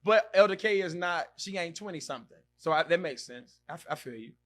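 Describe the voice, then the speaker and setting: Bright, lively man in his thirties. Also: sits high, brisk. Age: 30s. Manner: animated, exclaims and reacts loudly, repeats himself. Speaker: podcast host. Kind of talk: casual live-stream chat reading comments.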